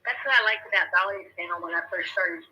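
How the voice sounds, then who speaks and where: A live stream host chatting casually and recalling past channels in a relaxed way. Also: mid-range voice, quick pace, husky, rough recording. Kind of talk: casual chat.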